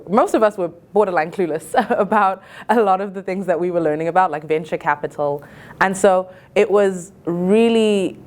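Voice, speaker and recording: Casting: female college student, early twenties, low register, earnest and reflective delivery. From Zimbabwe. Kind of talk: reflective interview-style talk.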